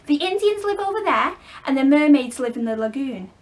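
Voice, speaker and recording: A woman's voice: sits high, expressive, fairly quick. A woman voicing an adventurous, boastful young boy who leads other children in a storybook. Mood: eager, boastful.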